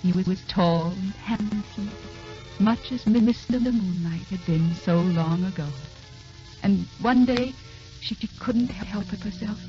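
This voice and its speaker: An actress narrating a wistful romance in the scripted style of vintage radio drama. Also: female voice, medium pitch, slow, mellow, natural voice. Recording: dull vintage radio recording over music.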